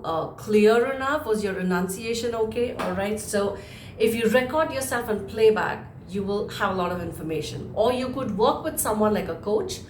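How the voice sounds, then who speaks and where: Woman coach teaching in an echoey room. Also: mid-range voice. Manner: emphatic, deliberately varies speed to stress points, rhetorical questions. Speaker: communication coach presenting an instructional video. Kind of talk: spoken lesson to viewers. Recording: roomy, echoing room sound.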